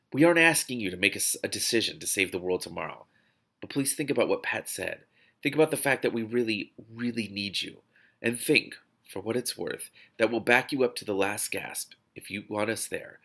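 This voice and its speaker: A man voicing an older, grizzled veteran agent from a novel, earnest and reassuring in a halting appeal. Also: fairly low pitch, expressive, vocal fry, fairly bright tone, exaggerated voice.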